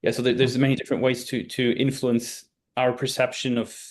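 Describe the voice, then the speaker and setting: Man, flat monotone, thoughtful, restarts sentences, webinar guest. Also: mid-range voice, a little fast. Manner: thoughtful, searching for words. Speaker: guest speaker. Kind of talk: webinar Q&A explanation. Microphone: video call.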